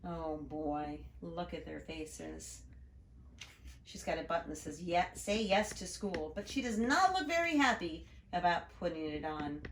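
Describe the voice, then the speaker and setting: A woman's voice: fairly low pitch, a little hiss or hum. A woman reading a children's story aloud expressively, adding little asides about the pictures. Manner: expressive, storytelling to young listeners. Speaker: storybook reader, educator. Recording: roomy, echoing room sound.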